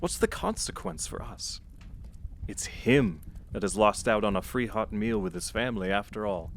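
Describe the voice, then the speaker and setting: An actor playing a cheerful, warm-hearted nephew in an audio drama, speaking in a jovial, earnest manner. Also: male voice, on the low side, animated, normal speed, gravelly, slight background noise. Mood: good-humored.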